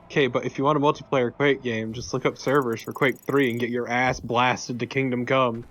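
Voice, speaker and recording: Blunt man chatting over a game, mid pitch. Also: flat delivery, a little fast, a bit bright. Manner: blunt, dry, sweary. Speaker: let's play co-host. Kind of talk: casual gameplay chat.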